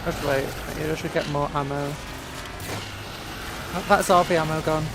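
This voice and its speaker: A woman's voice: low-pitched, fairly even intonation, measured pace, background noise. A British woman giving casual, playful, chatty gameplay commentary as a streamer. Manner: playful, chatty, talking to the game. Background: game audio and music.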